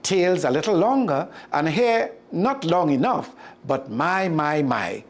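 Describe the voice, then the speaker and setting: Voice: medium pitch, expressive, takes it slowly. A storyteller voicing a bemused, curious American tourist who exclaims in mild amazement.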